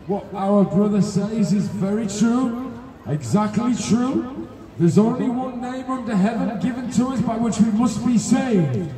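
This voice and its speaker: A middle-aged man preaching an open-air sermon through a loudspeaker, projecting emphatically phrase by phrase. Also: sits high. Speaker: street preacher. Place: outdoors on a busy pedestrian shopping street. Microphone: handheld PA microphone, filmed from several metres. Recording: echoing distant loudspeaker with street crowd.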